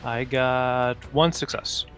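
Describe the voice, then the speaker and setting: A man's voice: medium pitch, fairly even intonation, slow, a bit bright, clean tone, faint music behind. A man chatting casually as a player in a tabletop roleplaying game.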